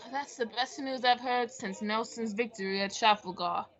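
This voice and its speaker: A woman's voice: on the high side, unhurried, heavy background noise, natural voice. A woman playing a fretful, theatrical male sidekick in an old-time radio drama, fussy and exclamatory. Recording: dull lo-fi audio.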